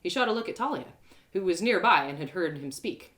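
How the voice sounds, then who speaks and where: A woman's voice: lowish voice, lively intonation, fairly quick, fairly bright tone. A woman storyteller narrating a first-person tale in an emotive, theatrical way. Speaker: storyteller, audio drama author-performer.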